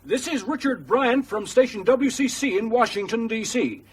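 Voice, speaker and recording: A man's voice: very high voice, normal speed, breathy edge, recorded from a distance. A man acting in a radio drama as a pushy American current affairs presenter, formal and insistent on air.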